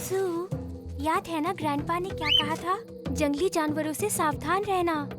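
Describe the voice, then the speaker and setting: A woman's voice: extremely high pitch, measured pace. A woman voicing an inquisitive, cautious young animal girl in a children's cartoon, childlike and questioning. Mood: nervous. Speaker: voice actor. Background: music.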